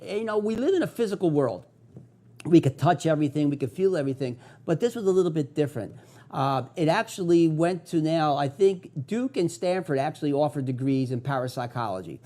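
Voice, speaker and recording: A man's voice: fairly high pitch, lively intonation, a little fast, mellow. An older man from South Philadelphia with a Philadelphia accent, hosting a local TV talk show in an enthusiastic, conversational way. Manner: enthusiastic, conversational, with filler uhs. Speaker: host.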